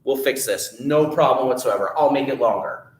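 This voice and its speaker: A man's voice: mid-range voice, moderate pace, echoey room, lightly acted, rough recording. A man voicing a cheerful, foolish innkeeper's wife who reassures in a chirpy, breezy way.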